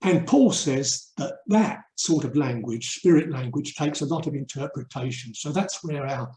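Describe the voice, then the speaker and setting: An older man from England giving a reflective webinar talk, earnest and emphatic, with deliberate pauses. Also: a little high, dark tone. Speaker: urban mission speaker and theologian. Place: indoor room, online webinar. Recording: roomy, echoey webinar audio.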